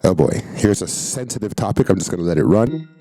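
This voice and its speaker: A man's voice: deep voice, lively intonation, fast talker, warm tone, dry recording. A man hosting a podcast, reacting to video clips in casual, slangy commentary and hyping up the speakers. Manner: hyped, interjecting, slangy.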